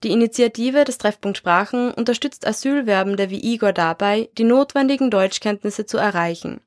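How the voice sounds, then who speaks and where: A woman narrating a scripted university radio report in a measured, even delivery. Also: on the high side.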